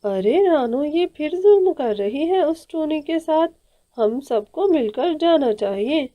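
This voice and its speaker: Cartoon aunt bird female performer: high voice, measured pace, forthright, scolding, fiercely protective. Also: expressive, highs cut off. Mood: indignant, rallying. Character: bossy, protective elder aunt bird, outspoken and warm-hearted. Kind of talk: Hindi children's cartoon dialogue.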